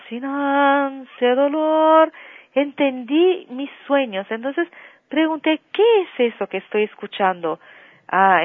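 Una mujer brasileña, cantante, habla por teléfono con una voz algo aguda y un ritmo muy pausado, alargando las pausas. El sonido es seco, estrecho y metálico, como una línea telefónica. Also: female voice, high-pitched, dry recording. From Brazil. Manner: slow, warm storytelling with drawn-out fillers, sings softly at times. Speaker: singer, interview guest. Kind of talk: phone interview. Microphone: telephone. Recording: tinny phone line.

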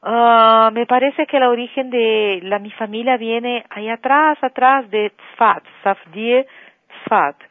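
A Brazilian-born woman singer, a guest on a radio interview by phone, telling her story slowly with long pauses and fillers. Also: on the high side, no echo. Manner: slow, warm storytelling with drawn-out fillers, sings softly at times. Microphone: telephone. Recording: tinny phone line.

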